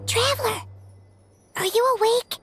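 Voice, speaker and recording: A woman voice actor playing a tiny, chirpy, childlike fairy companion in a video game, with a squeaky, eager delivery. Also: unhurried, a bit bright, faint music behind. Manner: speaks of herself in third person.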